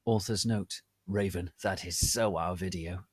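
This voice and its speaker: Theatrical, low-voiced man reading slowly. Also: steady tone, breathy edge, no room sound. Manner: theatrical, deliberate. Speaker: narrator, reader. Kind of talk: dramatic reading of fan fiction.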